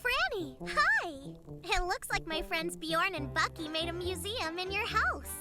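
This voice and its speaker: A woman's voice: very high voice, animated, unhurried. A woman voicing a cheerful, sensible young female animal in a children's cartoon, friendly and frank. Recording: loud music under the voice.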